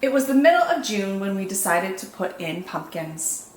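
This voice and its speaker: A woman reading picture books aloud as a children's storytime host, expressive and deliberate, with drawn-out dramatic emphasis. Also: mid-range voice. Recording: echoey room, faint background noise.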